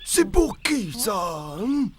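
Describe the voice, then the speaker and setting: Scatterbrained, hearty cartoon courier, very slow delivery, voice swooping up and down. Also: mid-range voice, sing-song, a bit bright, slightly rough, full character voice. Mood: jolly, flustered. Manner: hearty, exclaiming, chuckling. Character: loud, jolly, scatterbrained cartoon delivery character. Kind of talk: children's cartoon dialogue.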